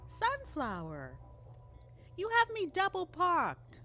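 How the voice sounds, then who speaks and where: Female narrator as a pushy, breezy young American woman in a spooky story, animated, slightly muffled recording. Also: very high-pitched, slow, pitched above the performer's own voice, heavily acted. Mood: calling out. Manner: brash, emphatic, commanding. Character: bossy, breezy young woman leading an amateur spell group. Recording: dull lo-fi audio under loud music.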